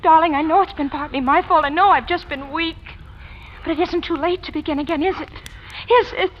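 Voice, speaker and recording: A woman's voice: very high-pitched, brisk. A woman playing an earnest, anguished city official in love with a racketeer, pleading intensely in 1940s radio melodrama style. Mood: desperate, hopeful. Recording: muffled, noisy old radio recording.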